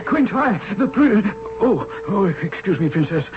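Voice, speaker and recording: An actor playing a flustered, deferential royal tailor in a radio drama, stuttering and fussy. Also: male voice, high voice, very animated, measured pace. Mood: alarmed. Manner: stuttering, fussy, breathless urgency. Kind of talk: old-time radio drama acting. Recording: muffled radio recording with clear noise.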